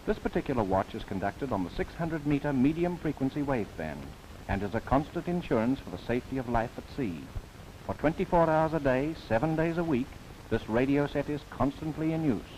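A man delivers formal, scripted documentary narration in the style of the 1930s. His mid-pitched voice rises and falls in broad, animated sweeps while staying measured and earnest. It sounds like an old film soundtrack, with a little background noise. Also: rough recording.

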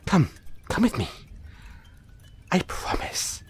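A man playing an anxious, apologetic dollmaker in an audio roleplay, stammering and halting as he speaks to the listener. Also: faint music behind, natural voice. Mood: anxious, soothing. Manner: stammering, halting, flustered, placating. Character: anxious, apologetic male dollmaker who dabbles in soul magic.

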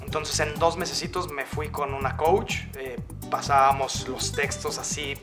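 A man's voice: fairly high pitch, steady tone, a little slow, music in the background. A Mexican man in his thirties, an actor being interviewed on a sofa indoors, talking earnestly with many eh and este fillers. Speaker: actor guest. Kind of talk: casual interview. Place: indoor lounge on a leather sofa.

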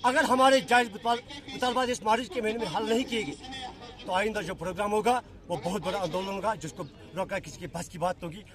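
High-voiced protest leader. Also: male voice, brisk, crowd noise. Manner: emphatic, insistent, rhetorical questions. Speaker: labourers' union leader. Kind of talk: protest statement to reporters. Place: open sports ground among a crowd. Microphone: handheld news microphones held close.

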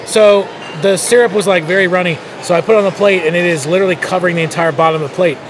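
A man giving a relaxed, chatty food review while he eats in a buffet restaurant. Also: high voice, very fast, noisy background. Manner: relaxed, chatty, thinks aloud between bites. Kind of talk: casual on-camera food review. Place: busy casino buffet restaurant indoors. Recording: loud crowd chatter and clatter around. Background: loud diners and dish clatter.

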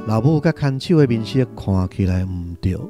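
Male Taiwanese-accented radio drama narrator: mellow, very animated, expressive literary storytelling over faint music. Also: lowish voice, moderate pace.

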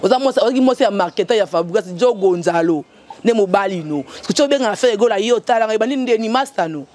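A middle-aged Congolese woman ranting loudly and scolding into a handheld microphone outdoors. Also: medium pitch, a little fast. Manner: loud, scolding and animated, gesturing. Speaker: interviewee. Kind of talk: heated rant. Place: outdoors by a tiled wall. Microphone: handheld mic in front.

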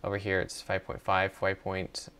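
Low-voiced man, casual walkthrough. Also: completely flat delivery, normal speed, sharp tone, clear, resonant tone. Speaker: tutorial presenter. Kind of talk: casual screen walkthrough narration.

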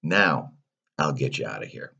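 A man voicing a stern but caring female fairy in a storybook, in a measured way. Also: rumbling low, lively intonation, vocal fry, sharp tone, husky, dull audio, voice pushed down, heavily acted. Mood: approving, firm. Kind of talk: storybook character voice.

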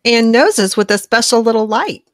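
A woman with a fairly high voice narrates a children's story. Her delivery is very melodic and animated, shaped for young listeners, in a dry, close recording. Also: moderate pace, dry recording. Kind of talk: expressive scripted read-aloud narration.

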